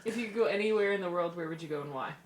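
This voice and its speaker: A woman's voice: lowish voice, very fast, over a noisy crowd. A woman co-hosting a podcast, casually firing questions and teasing the people she is talking with. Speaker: podcast co-host asking questions. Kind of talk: casual quick-fire interviewing.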